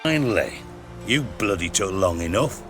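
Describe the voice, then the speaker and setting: An actor playing a blunt, scheming middle-aged spymaster in a video game, with a mocking, mock-courtly manner. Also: male voice, sits low, lively intonation, normal speed. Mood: grumbling. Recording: loud music behind the voice.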